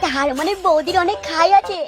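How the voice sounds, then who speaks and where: A man's voice: very high-pitched, fairly quick, over loud music. A man voicing a lecherous, sly young construction worker with a crude, wheedling manner in a comic cartoon dub. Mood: lustful, wheedling.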